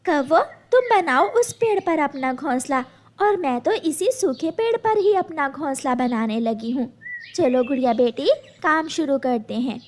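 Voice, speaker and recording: Woman doing a sweet mama sparrow for a cartoon, patient, high voice, slow talking. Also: lively intonation. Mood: earnest. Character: kind mother sparrow, patient but firm.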